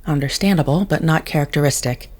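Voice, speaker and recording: A woman's voice: low-pitched, fast talker, a little mellow. Woman acting detached female telepath, formal, precise diction, coolly logical, controlled, audio drama. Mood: cool, guarded.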